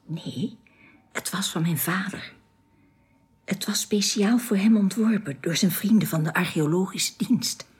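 An actress playing an earnest, tender, youthful alien woman in a radio drama, with precise, formal phrasing that often turns hushed. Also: mid-range voice, measured pace, slightly rough.

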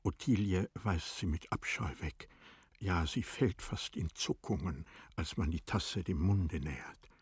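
A man narrating a tragic classic story in a radio play, in a solemn, measured, dramatic manner. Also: deep voice, husky, no room sound, studio quality, full character voice. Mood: urgent.

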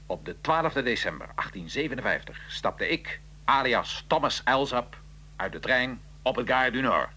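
A man's voice: mid-range voice, animated, pushed and bright, faint music behind. An actor playing a proud Italian revolutionary assassin of the nineteenth century, recounting his plot in a theatrical, emphatic radio-drama manner. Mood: dramatic recounting. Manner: theatrical, emphatic, measured storytelling. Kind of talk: radio drama acting, interview-like dialogue.

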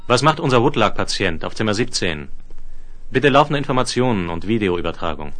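An actor playing a grave, brooding doctor in a sci-fi radio play, sober and matter-of-fact. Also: male voice, lowish voice, very animated, brisk, a bit bright. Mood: businesslike. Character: male space-clinic doctor, grave, brooding, businesslike. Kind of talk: 1970s German sci-fi radio play acting. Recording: faintly hissy 1970s vinyl recording.

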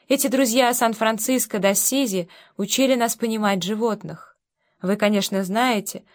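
A woman's voice: medium pitch, lightly acted. A woman voicing a shy, polite young British man who speaks hesitantly and deferentially.

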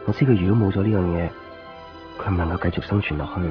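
An actor playing a brooding, lovelorn man narrating a radio drama in Hong Kong Cantonese, in a deliberate, introspective way. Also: low-pitched, lightly acted. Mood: tender, worried. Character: brooding, lovelorn man searching a night hospital. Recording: dull lo-fi radio recording, faint music.

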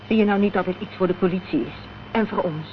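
A woman's voice: mid-range voice, fast, natural voice. An actress playing a detective's practical, inquisitive female companion in a Dutch radio drama, probing and forthright. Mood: concerned, probing. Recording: muffled, noisy old radio recording.

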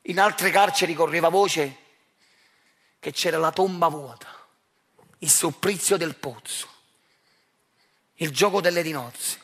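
Husky Neapolitan man delivering a stage monologue. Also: a little high, a bit bright. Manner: addresses the audience directly, measured and intense. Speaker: stage actor. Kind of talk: theatrical monologue.

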